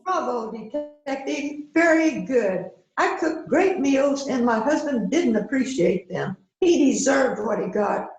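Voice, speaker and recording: A woman's voice: high voice, expressive, unhurried, few pauses, a little mellow, very echoey, lo-fi sound, soft background music. A woman playing a courteous, composed housewife suspected of murder in an amateur mystery play. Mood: composed, unrepentant. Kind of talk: amateur readers theater mystery acting.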